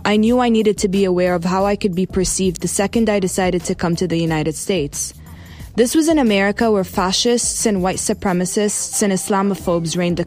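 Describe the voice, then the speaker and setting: A woman's voice: mid-range voice, darkish tone. Woman reading a personal reflection. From Dubai. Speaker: contributor.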